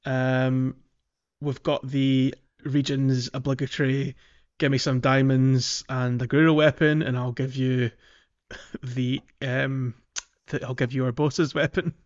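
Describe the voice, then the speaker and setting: Male podcast host for casual game talk, playful, medium pitch, slightly lo-fi recording. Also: little pitch movement, measured pace.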